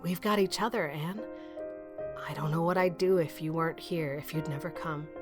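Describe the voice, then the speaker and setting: A woman playing a stern older spinster who speaks plainly, haltingly and with restrained tenderness in an audio storybook. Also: low-pitched, natural voice. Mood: tender confession. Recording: music under the voice.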